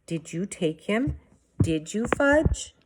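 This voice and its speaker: An exasperated young boy from a children's storybook, acted by a woman reader. He pleads and insists, quick to raise his voice. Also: medium pitch, sing-song, noisy background. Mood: pleading. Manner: insistent, pleading, prone to yelling. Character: frantic, exasperated school-age boy upset over his pet turtle.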